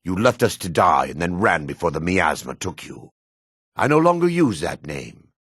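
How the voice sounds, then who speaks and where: An actor playing a solemn, world-weary dark elf man in a fantasy video game, with a raspy, measured, theatrical delivery. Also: low voice, big pitch swings, no room sound, studio quality, full character voice. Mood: agitated.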